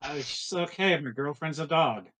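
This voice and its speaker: Bright, forceful man chatting over a game. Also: fairly high pitch, moderate pace, a little hiss or hum. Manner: talkative, joking asides. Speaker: tabletop game player. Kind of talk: casual chat during a game.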